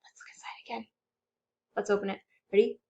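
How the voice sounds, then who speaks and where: A woman hosting a children's storytime, reading aloud and chanting rhymes in an animated, exaggerated way for young children. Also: mid-range voice, a little fast, lo-fi sound, background noise. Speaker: children's librarian storytime host.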